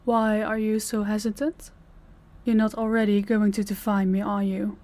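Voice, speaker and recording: A woman playing a dominant, cold mafia boss in a roleplay audio, speaking to the listener in a deliberate, condescending, commanding way. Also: medium pitch, level tone, darkish tone, lightly acted. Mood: cool, commanding.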